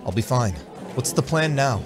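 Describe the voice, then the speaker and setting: A man plays a groggy young soldier full of bewilderment in a fantasy audio drama. His mid-range voice swings widely, over clearly audible music. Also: mid-range voice, expressive, normal speed, natural voice. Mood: incredulous. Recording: loud music under the voice.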